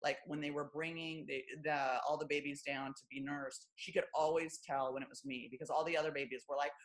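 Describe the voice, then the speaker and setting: A woman from Los Angeles with a deep, low voice, a former stand-up comic talking candidly and wryly as an interview guest. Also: fairly even intonation, a little fast. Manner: wry, self-deprecating, lots of fillers like "you know" and "like".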